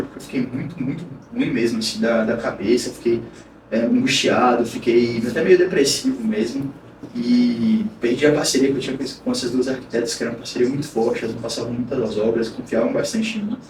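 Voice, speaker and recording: A man from Bahia, Brazil, talking as a podcast guest and recounting events in a frank, rambling way full of restarts. His voice sits at a middle pitch. It was recorded from a distance in a roomy space with clear noise underneath. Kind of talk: casual interview storytelling. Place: podcast studio. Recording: roomy, distant mic, noisy.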